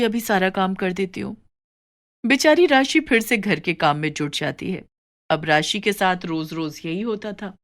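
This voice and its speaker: A woman narrates a scripted moral tale. Her voice sits at a middle pitch as she tells the story.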